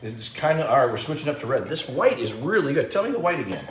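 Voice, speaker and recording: A man's voice: medium pitch, big pitch swings, fast talker. A middle-aged man talks viewers through a simple recipe, chatting easily and greeting them by name. His voice sits in the middle range. The kitchen sounds roomy and echoey, with faint background noise. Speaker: cooking show host. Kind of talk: casual live cooking demo. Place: home kitchen.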